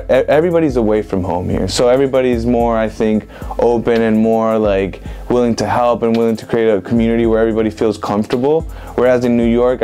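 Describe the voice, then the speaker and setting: A young man in his twenties from Venezuela, giving relaxed, rambling interview answers full of "like" and "um". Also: on the low side. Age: 20s. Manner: relaxed, rambling with many fillers like "like" and "um". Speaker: interviewed street photographer. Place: indoor room with bookshelves.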